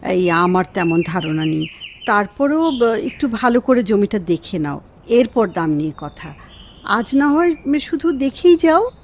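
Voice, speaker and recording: A woman's voice: medium pitch, big pitch swings, a little slow, a little hiss or hum. A woman playing a wistful, suffering wife in a radio drama, sighing and pleading. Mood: mild, hesitant. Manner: plaintive, sighing. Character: wistful wife, often in pain, pleading with her husband. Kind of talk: Bengali radio drama acting.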